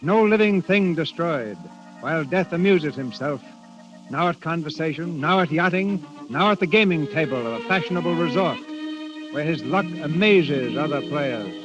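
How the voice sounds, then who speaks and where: A man narrating a 1930s radio drama as its announcer, in a crisp, declamatory scripted style. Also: on the high side, animated, normal speed. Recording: dull vintage 1930s radio recording. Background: music.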